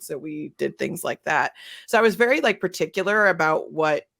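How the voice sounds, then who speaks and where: A woman's voice: lowish voice, vocal fry, a bit bright. A woman speaking casually and enthusiastically as a podcaster on a panel, peppering her talk with "like". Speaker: panelist, audio drama podcaster.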